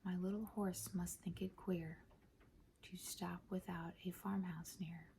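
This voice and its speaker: Slow, soft half-whispering ASMR woman. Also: on the low side, level tone, gravelly, a little hiss or hum. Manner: gentle, measured, hushed. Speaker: ASMR host. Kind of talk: soft-spoken ASMR poetry reading.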